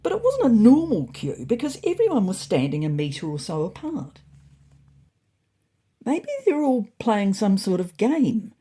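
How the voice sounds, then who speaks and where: A woman's voice: mid-range voice, huge pitch swings, a little slow, warm tone, husky, pitched above the performer's own voice, heavily acted. A woman voicing a self-important, naively confident male orange cat reading out his diary in a scripted story. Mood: puzzled.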